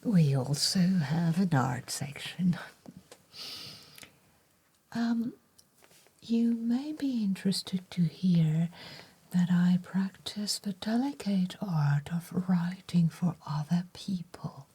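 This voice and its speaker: A woman playing a gentle, courteous bookshop letter-writer in an ASMR roleplay, whispering breathily with slow, formal, deliberate phrasing. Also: on the low side, lively intonation, husky, full character voice. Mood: gentle, informative.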